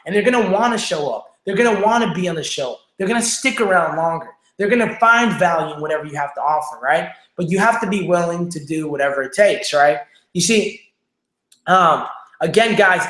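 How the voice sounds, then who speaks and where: A fast-talking man with a Mexican accent hosts a motivational livestream from his phone. His voice sits high for a man and carries a roomy echo from the room around him, and he keeps checking in with his viewers as he pushes each point. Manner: energetic, repetitive, fast-talking, asks "right?" and "okay?" after each point. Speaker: livestream show host. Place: home room without studio lighting. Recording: echoey room.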